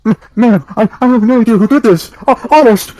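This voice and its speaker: A man's voice: very high voice, brisk, dark tone, no echo. A man voicing a flustered, embarrassed teenage boy hedgehog from a cartoon, stammering and yelping his protests. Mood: nervous.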